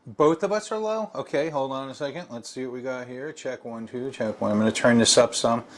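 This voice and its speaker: A man's voice: mid-range voice, a little fast, crowd noise. A man co-hosting a casual live stream, talking in a rambling way with many fillers and restarts.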